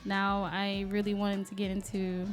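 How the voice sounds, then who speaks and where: A woman's voice: medium pitch, completely flat delivery, measured pace, faint music behind. A native Vietnamese-speaking woman from Alabama with a Southern US accent, chatting informally as a podcast guest. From Alabama, USA. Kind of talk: casual interview chat.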